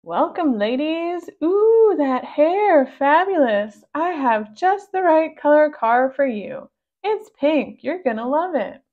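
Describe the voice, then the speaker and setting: A woman's voice: high voice, big pitch swings, slow pace, narrow, tinny audio, pitched above the performer's own voice, exaggerated voice. A woman voicing a slick, pushy pug who sells cars, with gushing, emphatic patter in a storybook reading. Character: pushy slick pug car salesman, shameless crook.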